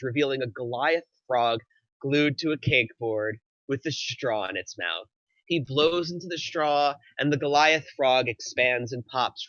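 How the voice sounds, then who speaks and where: A man's voice: medium pitch, pushed and bright, dry recording, lo-fi sound. A man narrating, reading screenplay action lines aloud at a table read.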